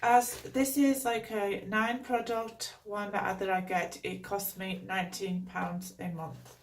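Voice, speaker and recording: A woman with a Geordie accent, chatting casually through a beauty box unboxing at home, her voice croaky from a cold and her talk rambling with lots of fillers. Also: medium pitch, flat delivery, slow pace, distant mic, poor audio. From North East England. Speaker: beauty vlogger.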